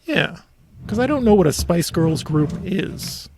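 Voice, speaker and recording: A man hosting a podcast, chatting casually about local politics in a halting way, with thoughts that trail off. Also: high-pitched, sing-song, background noise. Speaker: podcast host.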